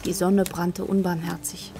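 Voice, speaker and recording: A woman's voice: fairly low pitch, level tone, moderate pace, over music, close to an everyday voice. A woman narrating a scripted children's tale in a radio play as the storyteller. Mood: plain storytelling.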